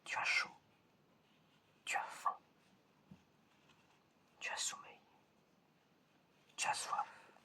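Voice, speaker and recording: Very slow, whispering ASMR roleplayer. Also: bright, forceful tone. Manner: slow, hesitant, repeats phrases. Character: eccentric examiner testing whether the listener is human. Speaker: ASMR performer.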